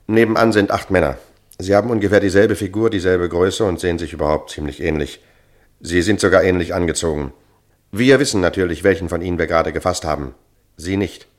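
Deep-voiced, dry police sergeant. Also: male voice. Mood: businesslike. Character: seasoned, matter-of-fact male police sergeant, dryly cynical. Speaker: police sergeant in a crime radio play. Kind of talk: German radio play acting.

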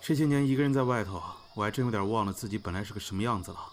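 A man playing a composed, earnest elder brother in a modern audio drama, speaking in a measured, sincere way. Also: fairly low pitch, a little hiss or hum, lightly acted. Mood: sincere, reflective. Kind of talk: modern Chinese audio drama acting, naturalistic dialogue.

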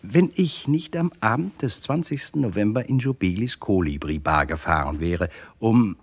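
Deep-voiced, wry crime narrator. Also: male voice, expressive, moderate pace. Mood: musing, wry. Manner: wry, digressive, addressing listeners. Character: wry, digressive storyteller, a writer recounting a murder case. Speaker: first-person narrator. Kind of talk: literary scripted narration in radio crime drama.

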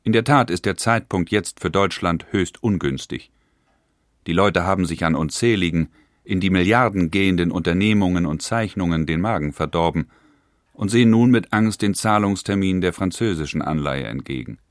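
A man voices a wary elder industrialist answering by letter. His very low voice stays measured and steady. It is recorded dry and close. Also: no room sound, natural voice. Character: cautious older German industrialist brother writing letters. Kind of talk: reading historical letters in a radio feature.

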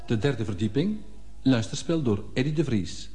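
A man formally announcing the credits of a radio play in a measured, scripted way. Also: medium pitch, animated. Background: loud plucked harp-like music.

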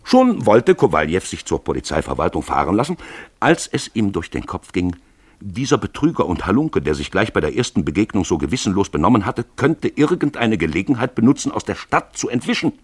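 A man with a low, husky voice narrates a literary radio play. His storytelling is expressive and ranges widely in pitch, recorded in a dry studio sound. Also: fairly quick, no room sound.

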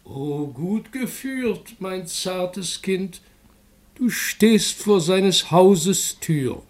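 A man's voice: high voice, expressive, very slow, heavily acted. A man in a German radio drama playing a solemn Greek chorus figure, declaiming ceremoniously.

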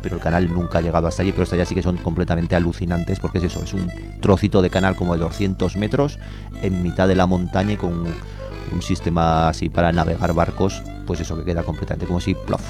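A man speaks in a very low, gravelly voice. He talks quickly and chattily, like a guest educator enthusiastically sharing tips on a radio show, with clear music behind him. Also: flat delivery. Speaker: environmental educator, guest contributor. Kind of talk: informal radio chat recommending walks.

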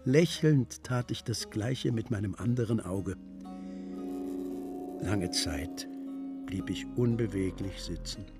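An actor playing a solemn, devout old master miniaturist in a radio drama, measured and at times sinking to a near whisper. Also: male voice, fairly low pitch, over music. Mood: grave, entranced. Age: older. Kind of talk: literary radio drama, first-person narration and dialogue.

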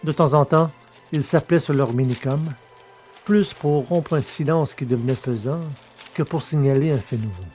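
A man reads science fiction narration in a steady, measured way. His voice is mid-pitched and plain. Faint music plays underneath him.

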